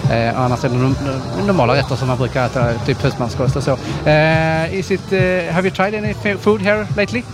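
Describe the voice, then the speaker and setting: A man interviewing passers-by at an outdoor festival, halting, with many "eh" fillers and restarts as he switches to translate. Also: on the high side, fairly even intonation. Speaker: street interviewer, radio host. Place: outdoor food festival in a city square. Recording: outdoor festival with loud background music. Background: loud music outdoors.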